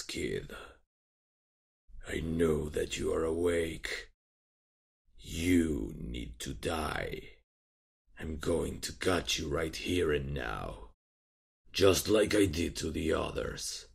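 Guy putting on a super deep gravelly killer, slow cold threats, audio drama. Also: lively intonation, a little mellow, heavily acted. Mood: menacing. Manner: slow, low menacing threats. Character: menacing male serial killer intruder, cold and brutal. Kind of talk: ASMR horror roleplay.

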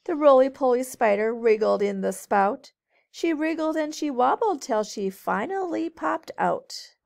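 A woman teacher reading a storybook aloud to children in a measured, sing-song way. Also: sits high, animated, gravelly, a little mellow. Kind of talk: storybook read-aloud narration.

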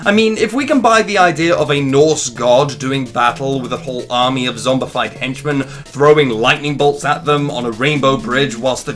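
A man's voice: mid-range voice, a bit bright. A young man presents a scripted review. He is emphatic and opinionated, with a wry edge, and speaks over faint background music. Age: young adult. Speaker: video essay host and reviewer. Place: small room indoors.

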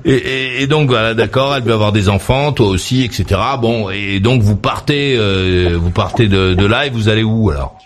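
A man's voice: lowish voice, moderate pace, few pauses, a little hiss or hum. A man hosting a casual late-night radio phone-in, teasing and joking with the caller. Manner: jokey, teasing, laughs at own jokes. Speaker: radio host.

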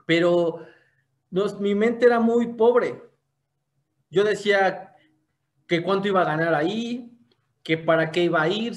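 A young man giving a motivational business testimony on a video call, telling his story and throwing rhetorical questions at his listeners. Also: sits high. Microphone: webcam on a video call.

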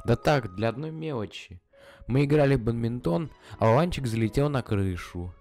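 A man voicing a polite young boy in an amateur cartoon who talks in a measured, reasoning way. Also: mid-range voice, expressive, vocal fry, a little mellow, soft background music.

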